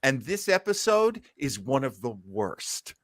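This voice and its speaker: A man's voice: mid-range voice, sing-song, a little slow, fairly bright tone. A man hosting a podcast, chatting casually about old time radio in an enthusiastic, rambling storytelling way. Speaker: podcast host.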